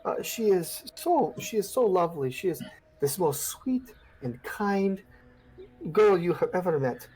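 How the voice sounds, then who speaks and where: A man's voice: high voice, lively intonation, unhurried, darkish tone, breathy edge, pitched above the performer's own voice, exaggerated voice, poor audio. A man doing a sobbing, wailing village mother. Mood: pleading. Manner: wailing, pleading, tearful. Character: grief-stricken older village woman, sobbing mother.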